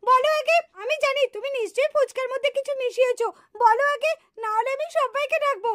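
Squeaky, indignant doll heroine. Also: female voice, quick pace, a bit bright. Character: indignant, childlike young female doll heroine. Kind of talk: puppet cartoon acting.

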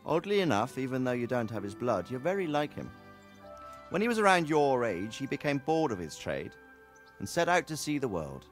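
An actor playing a middle-aged medieval knight talking to his son in a video game, measured and reflective. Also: mid-range voice, very animated, natural voice. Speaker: knight lord, father of the hero. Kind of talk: video game cutscene dialogue. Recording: soft game music behind.

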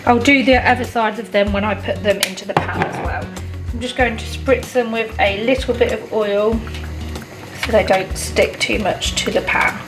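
A woman's voice: on the high side, moderate pace, music in the background. Chatty woman cooking at home. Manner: chatty, relaxed, frequent "um" and "honestly". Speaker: cooking vlogger. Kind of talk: cook-along voice-over and chat to camera. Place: home kitchen and living room.

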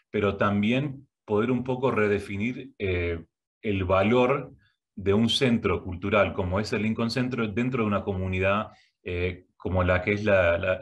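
A middle-aged man with an Argentine Spanish accent, giving a prerecorded video talk from a home office. He hesitates often, with many filler sounds and restarts. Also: fairly low pitch. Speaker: guest speaker, arts education director. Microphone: webcam, head and shoulders framing.

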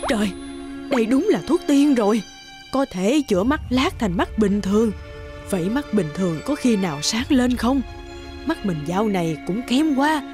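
An actress voicing a timid, curious and secretly greedy middle-aged nursemaid in a cartoon fairy tale, hesitant and muttering to herself. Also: female voice, on the high side, expressive, moderate pace, a little mellow, natural voice. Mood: puzzled, curious. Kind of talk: animated fairy-tale dubbing. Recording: background music under the voice.

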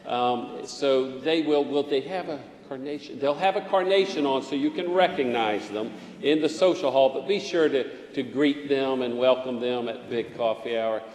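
A man, a pastor, leading a measured, solemn prayer and welcoming new church members. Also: medium pitch, darkish tone. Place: large church sanctuary. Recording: echoey sanctuary with long reverb.

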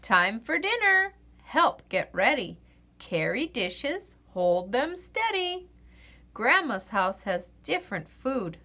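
A woman reading a children's picture book aloud in an expressive, sing-song way. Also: on the high side, breathy edge. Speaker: children's story reader.

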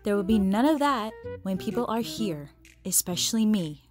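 A woman's voice: medium pitch, lively intonation, normal speed, a little mellow, faint music behind, close to an everyday voice. A woman playing a frank, teasing, matter-of-fact mother in a comic dub.

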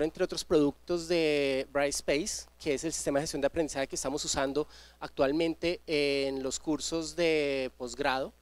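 A man opens a university seminar with a fairly high voice, stopping often on filler sounds and repeated words. He speaks into a handheld microphone in front of a seated audience. The sound is dry, with little room echo. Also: smooth. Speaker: university host introducing a guest speaker. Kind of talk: formal introduction at a seminar.

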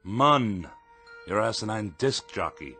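An actor playing a haughty, indignant middle-aged misanthrope with ornate, theatrical diction in a radio drama. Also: male voice, on the low side, lively intonation, takes it slowly, bright, forceful tone, faint music behind, full character voice. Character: pompous, irascible middle-aged misanthropic writer.

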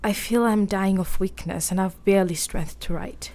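A woman playing a frail, frightened young gentlewoman in a radio play, gentle and brave. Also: mid-range voice, steady tone, moderate pace, natural voice. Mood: weary, fearful. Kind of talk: radio play acting, partly diary narration.

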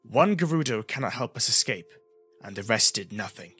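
A man's voice: fairly low pitch, expressive, moderate pace, bright, forceful tone, breathy edge, full character voice. Young male hero acted by a man, vulnerable, choked up, faltering, fantasy audiobook with music beneath. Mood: frustrated.

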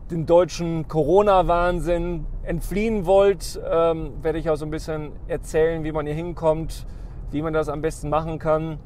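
A man's voice: a little high, darkish tone. A man, hosting a casual travel vlog while driving and rambling with many ums and restarts. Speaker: vlog host. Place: inside a car driving through snowy forest. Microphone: camera on the dashboard. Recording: faint car noise.

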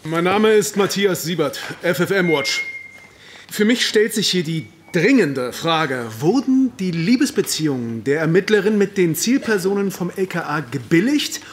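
A man's voice: high-pitched, expressive, normal speed. A man playing a persistent, probing investigative journalist in a naturalistic audio drama. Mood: insistent.

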